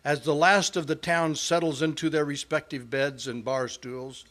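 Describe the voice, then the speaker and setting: A man's voice: fairly high pitch, fairly even intonation, normal speed, fairly bright tone. A man reading scripted narration for an old-time style radio play.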